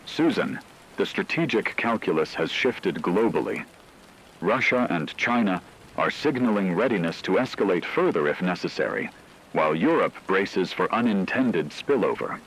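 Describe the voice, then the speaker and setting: A man voicing a grave radio war correspondent, reading a scripted news report in a formal, measured way. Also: low-pitched, expressive, slightly rough, exaggerated voice, low-quality recording.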